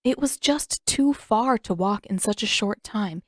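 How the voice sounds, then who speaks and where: A woman reading scripted fiction aloud as an expressive audiobook narrator. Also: medium pitch, unhurried, no room sound, clean studio sound.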